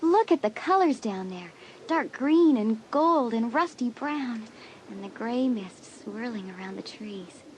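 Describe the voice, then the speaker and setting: A wistful, anxious teenage girl for a dramatised audiobook. Her voice is on the high side and sensitive. The recording carries faint noise. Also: animated, unhurried, low-quality recording.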